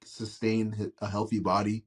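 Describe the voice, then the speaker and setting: A man from Massachusetts hosting a sports podcast, excitable and slangy, often cutting in. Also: on the low side, slightly rough. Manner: excitable, slangy, quick to interrupt. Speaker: podcast host. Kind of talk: casual sports talk.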